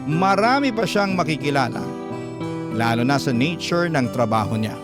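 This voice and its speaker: A man's voice: fairly high pitch, expressive, normal speed. A man with a Filipino accent narrating a scripted first-person letter as a radio storyteller. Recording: guitar music bed under voice.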